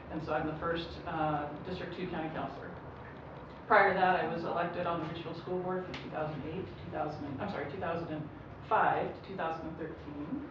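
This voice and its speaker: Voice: mid-range voice, lo-fi sound. A speaker from Washington State introducing themselves as an incumbent candidate, stumbling a little and apologizing. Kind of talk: panel self-introduction. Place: public meeting room. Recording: noisy echoey room from far off.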